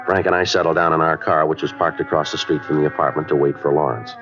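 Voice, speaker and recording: A man acting a stoic, terse police detective in an old-time radio drama, matter-of-fact and deadpan. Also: extremely low pitch, quick pace. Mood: flat narration. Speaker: lead actor and narrator of a detective series. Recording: dull vintage radio broadcast with music.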